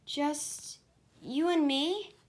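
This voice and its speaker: An excitable young hedgehog girl from a cartoon storybook, put on by a woman reader. She is shy and quick to fluster, with a high voice and drawn-out, slow delivery. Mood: surprised. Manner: bashful, easily flustered.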